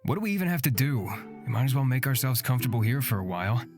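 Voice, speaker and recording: A woman's voice: rumbling low, sing-song, very fast, music in the background, pitched below the performer's own voice. A woman voicing a sarcastic, prickly young man in a fantasy game, blunt and dismissive.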